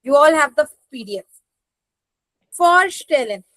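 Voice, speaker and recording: A woman's voice: high voice, lively intonation, unhurried, fairly bright tone, no echo, poor audio. A woman with an Indian accent teaching an online language class, prompting learners with short questions and tags.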